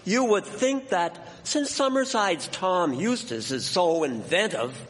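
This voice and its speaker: A man's voice: sits high, animated, takes it slowly, a bit bright, full character voice. A man playing an opinionated, folksy county man at an 1870s fair in a historical radio drama, recorded with long reverb. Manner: folksy, old-fashioned. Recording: long echoing hall reverb.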